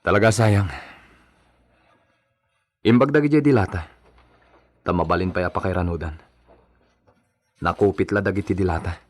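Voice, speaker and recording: A man's voice: sits low, normal speed, pauses often, no room sound. A man with an Ilocano accent playing a blunt, commanding son in a family radio drama. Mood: grave reporting.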